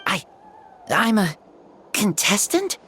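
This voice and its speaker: A woman's voice: medium pitch, big pitch swings, a bit bright, a little hiss or hum. A woman voicing a timid, earnest young child in a video game, hesitant and full of wonder. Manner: hesitant, halting.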